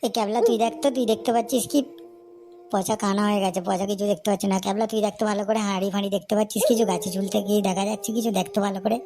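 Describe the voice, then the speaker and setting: A woman's voice: medium pitch, fairly even intonation, racing, dark tone, soft background music, lightly acted. Woman voicing bossy young cartoon boy schemer. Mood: pushy, impatient. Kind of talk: Bengali comedy cartoon voice acting.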